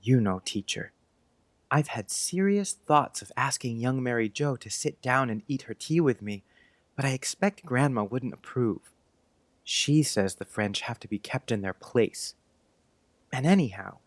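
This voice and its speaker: A man's voice: mid-range voice, no room sound, lightly acted. A man playing an earnest, polite, precocious young schoolboy in an audiobook, speaking in careful old-fashioned phrases. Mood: eager, confiding. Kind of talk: audiobook character acting.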